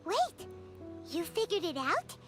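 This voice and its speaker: Tiny perky fairy companion, extremely high and swooping, anime game style, music behind. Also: female voice, huge pitch swings, takes it slowly, fairly bright tone, exaggerated voice. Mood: curious. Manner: perky, exclamatory. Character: tiny excitable childlike female fairy companion.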